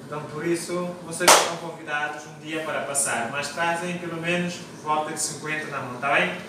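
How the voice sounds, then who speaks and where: A man's voice: on the high side, steady tone, a little fast. A young man cheerfully greeting the camera and joking as he cooks for his brothers in a large tiled kitchen, filmed on a phone. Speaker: seminarian cook. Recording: echoey kitchen, distant phone mic, loud noise.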